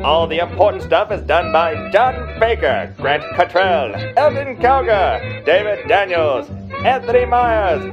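A man's voice: high-pitched, very animated, measured pace. A man reading scripted show credits as an announcer. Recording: dull lo-fi with music behind. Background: music.

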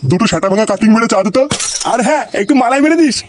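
A man's voice: very high-pitched, lively intonation, rapid-fire, over music. A man voicing a crude, grumbling village man in a comedy cartoon, swearing brashly. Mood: brash, demanding.

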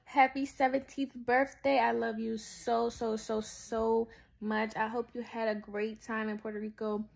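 A woman's voice: on the high side, flat delivery, a little slow, creaky. Woman sending an affectionate birthday message. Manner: affectionate, gushing. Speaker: relative giving birthday message. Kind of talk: recorded video message.

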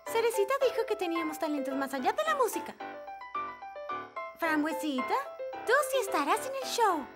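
A woman's voice: extremely high pitch, sing-song, normal speed, pauses often, loud music behind the voice. A woman playing a sweet, encouraging little girl in a children's cartoon, gushing and supportive.

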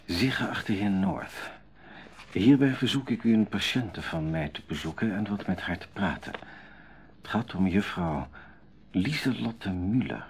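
An actor playing a thoughtful, obliging gentleman in a Dutch radio drama, with a slightly husky voice. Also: sits low, expressive, measured pace, slight background noise. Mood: formal reading.

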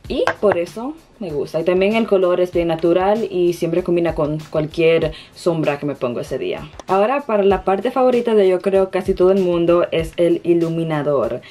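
A young woman with a Dominican Spanish accent talks casually through a makeup routine in her bedroom, often pausing to hunt for the right words. Her voice is mellow and sits a little low. Soft music plays faintly underneath. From Dominican Republic. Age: young adult. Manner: self-conscious, hesitant, searching for words. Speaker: beauty vlogger. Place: bedroom, indoors.

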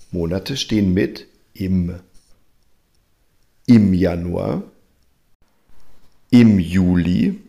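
Slow gravelly guy reading grammar examples over and over, kinda husky. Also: sits low, mellow. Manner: very slow, reading example sentences and repeating them. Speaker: German grammar teacher.

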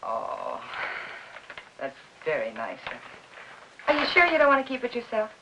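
An actress playing an anxious, gentle housewife in 1950s Hollywood melodrama, earnest and placating. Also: female voice, fairly high pitch, huge pitch swings, measured pace, heavy background noise, full character voice. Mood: kindly. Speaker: actress in lead role and narrator. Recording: dull vintage film soundtrack with music.

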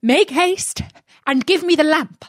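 A woman voicing a scheming, bossy male magician in a storybook, with a loud, deliberate, commanding manner. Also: sits high, dry recording, clean studio sound, voice pushed up. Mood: urgent.

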